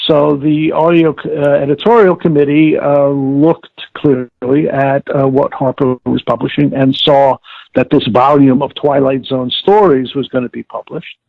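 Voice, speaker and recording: Older guy reminiscing in an interview, lots of fry, slow careful phrasing w/ restarts, sounds like a thin phone connection. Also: mid-range voice, flat delivery, no echo. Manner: halting, deliberate, wordy, with self-corrections. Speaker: interview guest, audiobook producer recalling past work. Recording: tinny narrowband call, like old radio.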